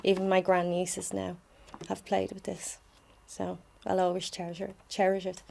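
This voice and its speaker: A woman's voice: mid-range voice, monotone, moderate pace, creaky, background noise. A middle-aged woman with a Dublin Irish accent reads a personal memorial tribute in a plain-spoken, reminiscing way. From Dublin, Ireland. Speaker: bereaved sister giving a tribute.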